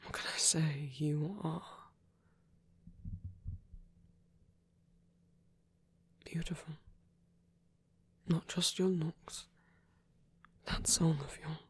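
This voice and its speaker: A man voicing a gentle, protective vampire priest in an ASMR roleplay, speaking softly and breathily in halting, earnest, tender confession. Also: fairly high pitch, fairly even intonation, exaggerated voice. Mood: tender, regretful. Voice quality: breathy, whispery, soft. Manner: hesitant, halting, earnest delivery. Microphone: close microphone, dry sound.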